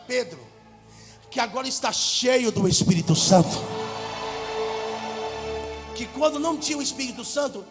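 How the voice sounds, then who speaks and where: High-voiced fervent preacher man, hall echo. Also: lively intonation, normal speed, long pauses. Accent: Brazilian Portuguese. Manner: fervent, swings from loud shouts to low asides. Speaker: pastor. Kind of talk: preaching a sermon. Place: large church hall. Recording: hall reverb with faint music.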